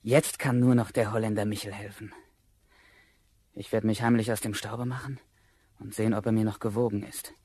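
An actor playing a gullible, desperate young charcoal burner, hesitant and excitable, in a fairy-tale radio play. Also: male voice, lowish voice, normal speed, pauses often, a little hiss or hum, natural voice. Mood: resolved.

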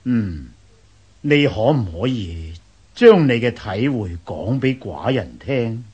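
A man acting a curious, stately ruler of ancient China in a radio drama, husky, with a Hong Kong Cantonese accent and a muffled sound. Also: medium pitch, sing-song, slow, a little mellow, full character voice. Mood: curious, regal.

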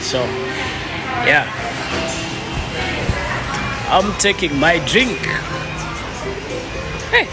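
A man's voice: fairly high pitch, very slow, pauses often, loud music behind the voice, over a noisy crowd. A young man from Rwanda, chatting casually as a vlogger while filming himself on a phone in city streets. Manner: relaxed, chatty, mixes English with simple Mandarin. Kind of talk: casual vlog narration and street chat. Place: city streets, a drink shop and a plaza at night. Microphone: phone at arm's length.

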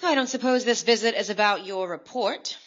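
Woman playing a precocious girl CEO, brisk and bossy, audio drama, muffled recording. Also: mid-range voice, a bit bright, natural voice. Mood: commanding. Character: bossy girl entrepreneur Maddie, eight years old. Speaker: lead character.

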